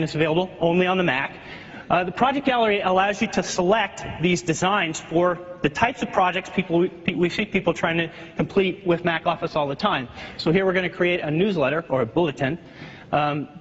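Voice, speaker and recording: A man in his thirties presenting a software demo live on stage in a large auditorium, explaining features with frequent restarts. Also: sits high, husky. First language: not French. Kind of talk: live keynote product demo. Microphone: stage mic, heard through the hall. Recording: dull lo-fi recording with long hall reverb.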